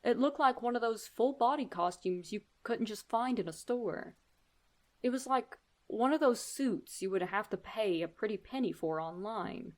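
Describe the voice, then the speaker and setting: A woman host reading horror stories aloud in a deliberate, theatrical narrating style. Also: a little high, animated, a little mellow. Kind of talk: dramatic scripted story narration.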